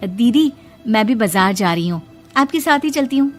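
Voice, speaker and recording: A woman's voice: on the high side, animated, moderate pace, faint music behind, natural voice. A woman voicing a dutiful, polite young daughter-in-law in a family story, earnest and respectful. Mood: friendly.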